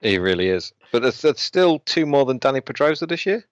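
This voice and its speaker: A man co-hosting a casual motorsport podcast, chiming in with quick interjections and wry asides. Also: mid-range voice, very animated, no echo. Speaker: podcast co-host. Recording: dry, dull lo-fi sound.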